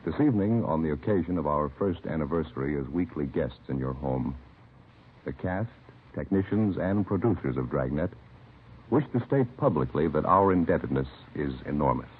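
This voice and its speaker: A husky American man announcing in a formal, scripted 1950s radio style, solemn and measured. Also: extremely low pitch, lively intonation, slight background noise. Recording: narrow-band vintage radio broadcast.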